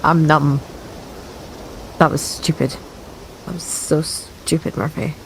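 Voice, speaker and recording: Brief: wounded starship commander, played by a woman, shaken, gravelly voice. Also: sits low, fairly even intonation, slow, a little hiss or hum, full character voice, rough recording. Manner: shaken, self-reproaching. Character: injured female commander, numb and rueful.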